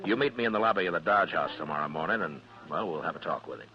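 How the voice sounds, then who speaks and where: An actor playing a steady, weary frontier marshal in a radio Western, with a measured, probing, laconic manner. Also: male voice, sits low. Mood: concerned, fatherly. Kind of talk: 1950s radio Western acting with first-person narration. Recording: dull vintage radio recording with music.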